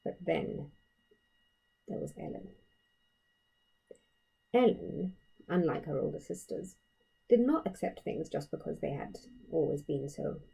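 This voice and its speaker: A woman narrates a story for children in a soft-edged, relaxed voice with some huskiness. Her delivery is measured and expressive, with emphatic phrasing and a touch of fry. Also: deep voice, low-quality recording. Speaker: author reading own story.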